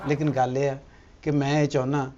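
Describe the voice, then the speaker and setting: A man's voice: medium pitch, little pitch movement, brisk, warm tone, lo-fi sound, slight background noise, natural voice. A man playing a cocky husband with two wives in a comedy skit, teasing and coaxing, then flaring up. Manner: teasing, wheedling, flares up indignantly.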